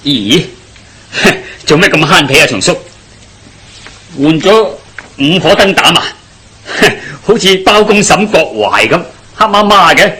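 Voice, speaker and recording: Chatty, bright-voiced husky village fellow. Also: male voice, fairly high pitch, lively intonation, takes it slowly, pauses often, heavily acted. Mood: cheerful, teasing. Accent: Hong Kong Cantonese. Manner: chatty, inquisitive, colloquial. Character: chatty, good-natured Cantonese village man, nosy and joking. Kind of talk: Cantonese radio drama acting.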